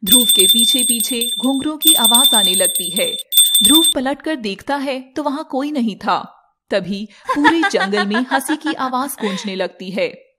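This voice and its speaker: Female fairy-tale narrator: highish pitch, scripted storytelling, noisy background. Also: animated, a little slow, bright, forceful tone.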